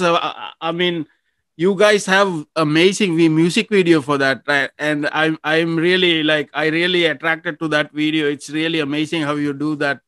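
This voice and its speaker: A man hosting an interview over a video call, speaking haltingly with many restarts. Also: fairly high pitch, fairly bright tone, clean tone, no room sound, clean studio sound. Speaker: host. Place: from the Philippines.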